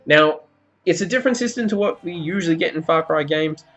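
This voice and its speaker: A man's voice: fairly high pitch, brisk. Man reviewing games, slightly dull lo-fi audio. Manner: conversational, explanatory. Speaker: video game reviewer. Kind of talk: semi-scripted review commentary over gameplay footage.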